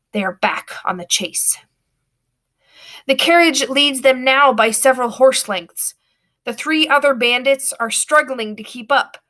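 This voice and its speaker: A woman reading a storybook aloud with expressive, dramatic narration. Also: fairly high pitch.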